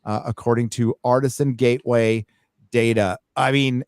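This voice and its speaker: A man's voice: mid-range voice, normal speed, dry recording, clean studio sound. A man hosting a casual chat, reading news aloud with joking asides and swearing. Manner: animated, swearing, jokey asides.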